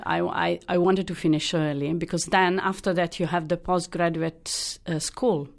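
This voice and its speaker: A woman in her sixties from Italy with an Italian accent, a hematologist answering interview questions in a halting, thoughtful way. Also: fairly low pitch, steady tone, vocal fry. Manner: thoughtful, halting, many restarts and fillers. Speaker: hematologist guest. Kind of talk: interview, explaining her career.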